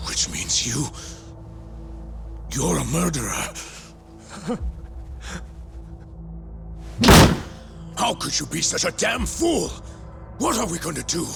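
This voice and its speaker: Male director: pompous and volatile, swooping pitch, slow theatrical delivery, game drama over music. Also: big pitch swings, creaky, heavily acted. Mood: furious. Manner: emphatic, theatrical. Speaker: research center director. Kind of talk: dramatic video game cutscene acting.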